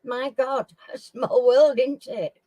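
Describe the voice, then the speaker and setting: A middle-aged woman from Sheffield with a Sheffield accent, hosting a casual live chat with viewers in a slow, homely way and often clearing her throat. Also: high voice, steady tone, mellow, no echo. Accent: Sheffield English. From Sheffield, England. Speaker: live stream host.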